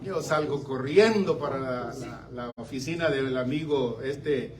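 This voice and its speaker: An older man from Central America preaching a sermon, swinging between loud exclamations and quiet asides. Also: mid-range voice, measured pace. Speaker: pastor. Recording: slightly distant mic with faint room noise.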